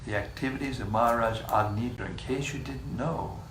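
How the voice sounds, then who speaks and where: A man leading a scripture class, reading passages in a measured way and joking with the group between them. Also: medium pitch, fairly bright tone. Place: room with a live group. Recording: echoey room, distant mic, faint hiss.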